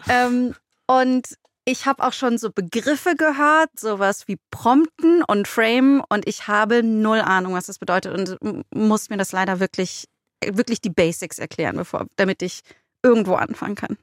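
A woman's voice: medium pitch, moderate pace, no room sound, clean studio sound. A woman hosting a podcast, chatting casually in a candid, inquisitive way. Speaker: podcast host. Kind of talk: casual chat.

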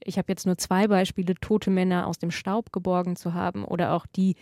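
A woman's voice: lowish voice, level tone, fairly quick, warm tone, no echo, studio quality. A woman interviewer putting careful, articulate questions in a cultural radio interview.

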